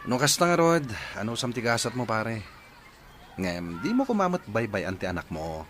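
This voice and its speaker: Man playing a very animated, frank buddy. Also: mid-range voice, moderate pace, a little hiss or hum. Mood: sympathetic, concerned. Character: frank, sympathetic male best friend. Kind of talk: Ilocano radio drama acting. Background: music.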